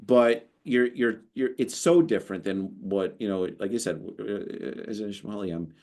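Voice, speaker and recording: Deep-voiced man telling a rambling story. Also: moderate pace. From United States. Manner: animated, storytelling, lots of restarts. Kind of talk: casual conversation.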